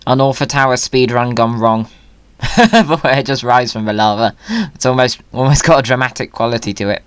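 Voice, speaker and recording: Whimsical gamer, mid-pitched, close dry sound. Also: a bit bright, clear, resonant tone, dry recording. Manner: whimsical, playful. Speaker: gaming video host. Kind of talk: casual gameplay commentary and chat.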